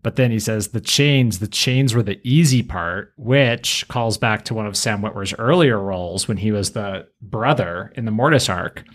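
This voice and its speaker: Man, fairly low voice, podcast co-host, conversational fan commentary. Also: normal speed, hardly any pauses. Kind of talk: casual fan discussion.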